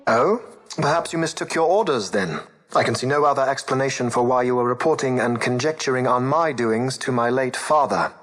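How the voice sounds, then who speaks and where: A man's voice: mid-range voice, brisk, a bit bright, close to an everyday voice. Casting: male actor as menacing young heir to an empire, haughty and formal, mid-range voice, music behind. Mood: cold scorn. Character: cold, imperious young male imperial heir, menacing and mocking. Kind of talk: dramatic video game cutscene acting.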